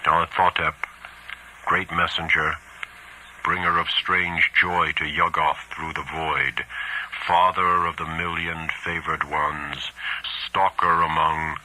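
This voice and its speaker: A man's voice: rumbling low, little pitch movement, slow pace, sharp tone, full character voice, rough recording. A man playing an educated cultist, solemnly chanting reverent ritual praise in a horror story. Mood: reverent, exalted.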